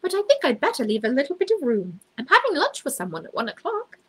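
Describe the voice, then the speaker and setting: A woman's voice: sits high, huge pitch swings, quick pace, voice pushed up. A woman voicing a polite, innocent, eager young male bear in a children's storybook. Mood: earnest. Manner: earnest, polite, naive. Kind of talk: children's storybook read aloud.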